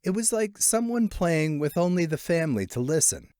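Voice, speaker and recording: A man narrating a literary short story expressively, lingering in the pauses between phrases. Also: a little high, big pitch swings, darkish tone, clean tone, no room sound, clean studio sound.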